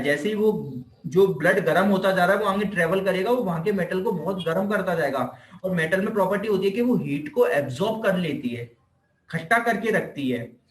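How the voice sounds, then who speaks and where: A man with an Indian accent giving an informal explanatory lecture, conversational, repeating points and asking rhetorical questions, mixing in English technical terms. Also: sits high. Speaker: physiotherapy lecturer. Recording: echoey, reverberant room.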